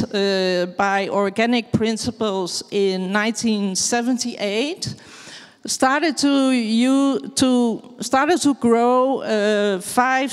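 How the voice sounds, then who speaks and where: Dutch woman speaker, slow and halting, clear voice, hall echo. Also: on the high side. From Netherlands. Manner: hesitant, searching for words in English. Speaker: farmer giving a presentation. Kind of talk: slow halting presentation with many fillers. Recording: long hall reverb.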